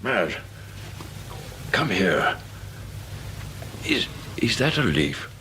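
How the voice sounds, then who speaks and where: An irascible older British husband in a radio play, fussy and obsessive. His delivery swings widely in pitch as he blusters and grumbles, over a clearly noisy ambience. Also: sing-song, extremely slow, pushed and bright, heavily acted. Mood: anxious, fixated. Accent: British English. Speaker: actor. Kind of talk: radio drama acting.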